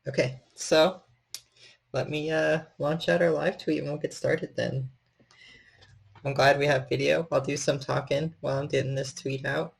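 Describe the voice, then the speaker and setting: A man's voice: on the high side, little pitch movement, normal speed, vocal fry, husky. A man chatting casually as the host and storyteller of a livestream. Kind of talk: casual livestream hosting chat.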